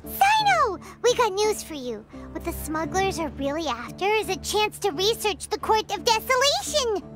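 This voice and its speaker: Squeaky, excitable little fairy companion. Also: female voice, moderate pace, heavily acted. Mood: excited. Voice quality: squeaky, childlike. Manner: talks of self in third person, exclamatory. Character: tiny childlike excitable fairy companion, female. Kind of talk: video game voice acting. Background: music.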